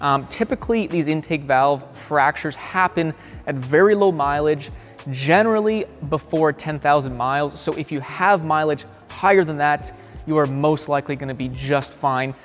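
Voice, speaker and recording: A man's voice: a little high, moderate pace, faint music behind. Upbeat young man in a garage. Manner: upbeat, talks with his hands. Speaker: automotive channel host. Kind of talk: explainer to camera. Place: large garage workshop.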